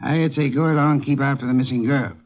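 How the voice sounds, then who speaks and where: An actor playing a pompous, dry detective boss who speaks formally and deliberately, in 1950s radio drama style. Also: male voice, mid-range voice, fairly even intonation, lightly acted. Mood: testy, commanding. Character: gruff, pompous armchair detective, a male boss who loves beer. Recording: dull, muffled 1950s radio recording.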